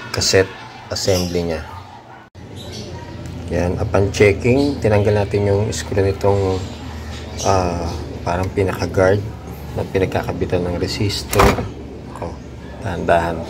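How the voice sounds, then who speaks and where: A Filipino man giving a casual hands-on tutorial, thinking aloud in a halting way as he works. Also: deep voice. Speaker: DIY repair vlogger.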